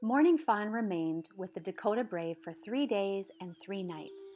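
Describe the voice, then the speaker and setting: A woman narrating a storybook aloud in a measured, expressive way, with dramatic pauses. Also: mid-range voice. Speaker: storybook reader. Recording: music behind the voice. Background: background music.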